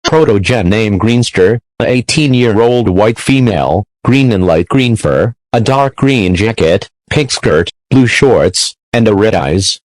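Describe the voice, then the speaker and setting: Man voicing a formal news anchor, low voice, dry close sound, stiff bulletin reading. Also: dry recording, lightly acted, rough recording. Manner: stiff, formal announcing with clumsy phrasing. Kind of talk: scripted breaking-news bulletin.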